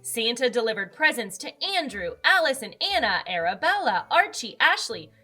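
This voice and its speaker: A woman with a fairly high, very lively voice narrates a children's storybook. She takes her time and leans on words for dramatic effect, with music underneath. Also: normal speed, husky. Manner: expressive, dramatic emphasis. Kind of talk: animated read-aloud narration for children.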